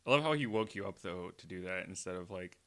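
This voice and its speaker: Low-ish guy joking around on a podcast, bouncy delivery, dry mic. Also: expressive, a bit bright, clean tone, dry recording. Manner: joking, quick banter. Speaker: podcast co-host. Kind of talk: casual podcast chat.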